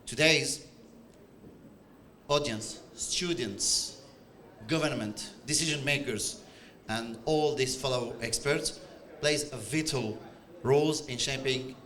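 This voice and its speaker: A man's voice: medium pitch, takes it slowly, pauses often, fairly bright tone. A middle-aged man from Algeria with an Algerian accent, giving a conference presentation in non-native English, hesitant, with many fillers and restarts. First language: French and Arabic. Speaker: conference speaker. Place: conference stage.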